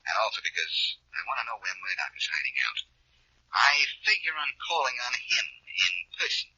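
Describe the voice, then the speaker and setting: Cocky wisecracking detective, lowish forceful voice, breezy banter, old radio drama, dull sound. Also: male voice, big pitch swings, a little fast, slight background noise, heavily acted. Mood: confiding. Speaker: actor, radio drama lead. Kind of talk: 1940s radio acting.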